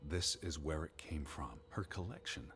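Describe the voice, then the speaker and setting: An actor playing an educated, dismissive American male naturalist of the 1920s in a video game, speaking in a measured, formal, pedantic way. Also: rumbling low, fairly bright tone. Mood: pondering. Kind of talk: scripted game dialogue and reading aloud.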